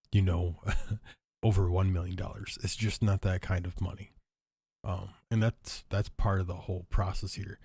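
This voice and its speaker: Husky low-voiced man, hoarse and stuffy. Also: mellow, dry recording, studio quality. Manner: rambling, thinking aloud with many fillers and restarts. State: hoarse, stuffy after illness. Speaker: show host. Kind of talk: casual solo talk to viewers.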